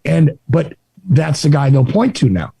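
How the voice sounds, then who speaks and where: A man from New Jersey with a Jersey accent and a raspy voice, talking in a sardonic, rambling way as a guest on a podcast. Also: on the high side, animated, normal speed, mellow, no room sound. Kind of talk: casual podcast conversation.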